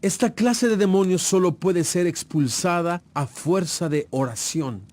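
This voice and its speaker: An actor playing an authoritative, earnest religious teacher with a solemn, emphatic delivery in a dramatized audio Bible. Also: male voice, high voice, measured pace, darkish tone.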